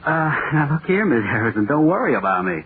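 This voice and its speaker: An actor playing a nervous, overworked male author in 1940s radio drama, halting and muttering to himself. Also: medium pitch, big pitch swings, slightly rough. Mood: weary, wry. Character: jittery, overworked male horror-play author, restless and self-doubting. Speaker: radio playwright dictating a script. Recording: scratchy, noisy, tinny old radio broadcast.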